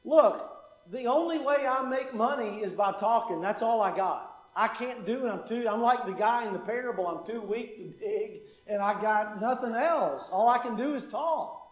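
A man with a high voice preaches earnestly, repeating his key phrases for weight and darting into quick asides. The sound is roomy, with a clear echo around him, as in a church hall. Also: lo-fi sound. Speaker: pastor. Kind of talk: sermon.

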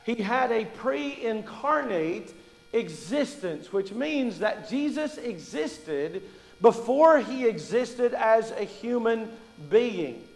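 A high-voiced man from Kentucky preaches, mixing folksy jokes into his teaching. His voice rings out with a long reverb, as in a big church sanctuary. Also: lively intonation, slow pace, creaky, soft background music. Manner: folksy, joking storyteller. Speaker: pastor. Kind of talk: sermon.

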